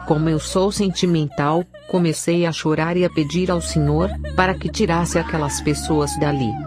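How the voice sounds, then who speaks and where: A woman's voice: low voice, steady tone, normal speed. A woman with a Brazilian accent narrating a scripted, dramatic religious testimony. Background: prominent music.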